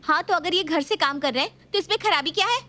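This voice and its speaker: A woman's voice: very high voice, fast, sharp tone, pitched above the performer's own voice. A woman voicing an indignant young wife in a cartoon, snapping sharply.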